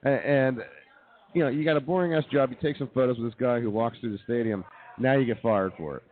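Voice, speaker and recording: A man's voice: medium pitch, quick pace, slight background noise. A man hosting a comedy radio show, trading banter in a talk-show style. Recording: tinny phone-like band-limited sound.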